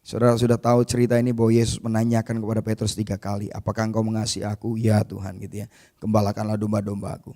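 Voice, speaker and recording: A man's voice: lowish voice, level tone, racing, mellow. A male pastor preaching a sermon in a conversational way, often calling his listeners "brother" and acting out the people in the story. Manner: addresses listeners as "saudara", retells scripture with quoted voices; conversational and informal, with casual asides.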